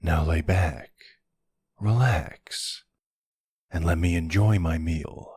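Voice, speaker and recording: A man performing as a predatory, teasing male vampire in an ASMR roleplay. His voice is very deep and husky, drawled slowly and seductively. It sounds smug and intimate. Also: darkish tone, no room sound, studio quality, exaggerated voice. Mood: flirty, amused. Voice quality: low, gravelly, husky. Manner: slow, taunting, seductive drawl.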